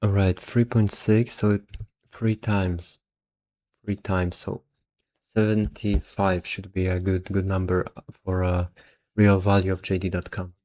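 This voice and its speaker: A man's voice: low voice, level tone, measured pace, warm tone. A man who sounds a little tired, casually thinking aloud as an investor working through valuations, hesitant and half muttering to himself. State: somewhat tired. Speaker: investor explaining stock valuation.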